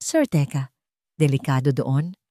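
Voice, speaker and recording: A woman's voice: very deep voice, a little slow, warm tone, no echo, studio quality. A Filipino woman voicing a worried, loyal female office assistant in an audiobook, anxious and deferential. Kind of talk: audiobook character acting.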